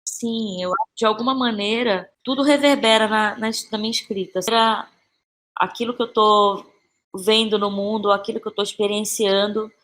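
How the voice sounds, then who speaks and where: A Brazilian woman in her thirties speaking as a guest in a conversational podcast interview, thinking aloud haltingly and repeating phrases. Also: mid-range voice, fairly bright tone. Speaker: interview guest, playwright and actress.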